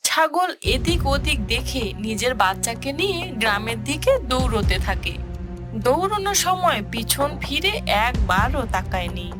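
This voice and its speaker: A woman narrator reading a scripted children's story. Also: on the high side.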